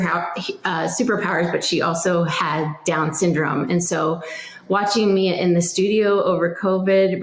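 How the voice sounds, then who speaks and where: Lower-voiced woman, earnest, in a roomy space. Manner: earnest, reflective. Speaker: interview guest, parent. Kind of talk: conversational interview answers.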